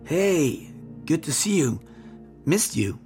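An actor playing a harried, scheming German reporter in a radio drama, speaking casually and haltingly with a German accent. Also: male voice, fairly high pitch, sing-song, darkish tone, faint music behind, exaggerated voice. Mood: affectionate. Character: harried, slangy war-video faker, a scheming male reporter. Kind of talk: naturalistic radio drama dialogue.

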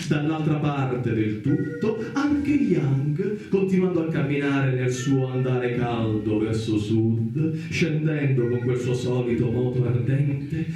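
Echoey far-off radio theatre, guy acting a solemn thinker monologue, super mellow dark voice, slow dramatic pauses. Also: medium pitch, close to an everyday voice. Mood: solemn, wondering. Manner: solemn, deliberate, rhetorical pauses. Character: narrator-thinker musing on the universe, then addressing Freud.

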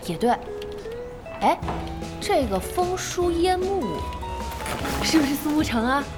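An actress in a Chinese audio drama playing an eager, naive young woman who bosses a gaming team, expressive and girlish. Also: sits high, takes it slowly, exaggerated voice. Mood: curious, chatty. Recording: studio drama with music behind.

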